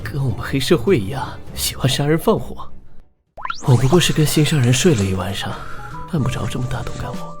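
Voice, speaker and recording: A male actor voicing a cocky, shameless gangster with a teasing, suggestive manner in an animated drama. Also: medium pitch, a little fast, over music, natural voice. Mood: mocking, flirtatious.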